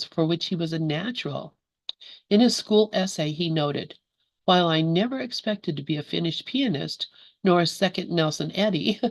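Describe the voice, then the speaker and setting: A woman's voice: low voice, no echo. A woman leading a study group on a video call, reading a book aloud and chatting, laughing easily between passages.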